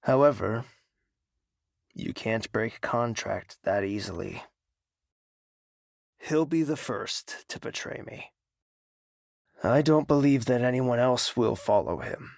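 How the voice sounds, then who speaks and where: Man acting a slow, gravelly, scheming villain. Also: mid-range voice, no room sound, studio quality. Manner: slow, deliberate, menacing. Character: cold scheming male villain brooding over betrayal. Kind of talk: audio drama monologue.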